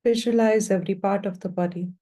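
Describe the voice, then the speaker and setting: A woman guiding a meditation as a teacher, speaking gently and without hurry in short, spaced phrases. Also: mid-range voice, fairly even intonation. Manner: gentle, unhurried, pausing between short phrases. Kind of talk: guided meditation instruction.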